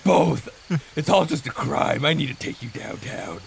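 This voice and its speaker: A man voicing a petulant, evasive vigilante in an improvised comic audio drama, stammering through excuses in a gravelly voice. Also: mid-range voice, expressive, a little slow. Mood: grumpy, evasive. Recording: clear background noise with chirping crickets.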